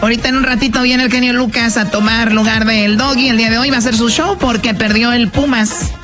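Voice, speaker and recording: A man's voice: very high-pitched, steady tone, quick pace, music in the background. A man co-hosting a radio comedy show, teasing and upbeat. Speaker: radio co-host.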